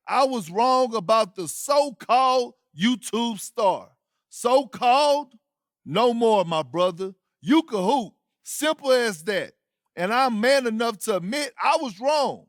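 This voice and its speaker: An actor playing a blunt sports pundit, delivering punchy, emphatic commentary in a video game. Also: male voice, extremely high pitch, a bit bright, no echo, clean studio sound, full character voice. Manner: emphatic, punchy short statements. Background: music.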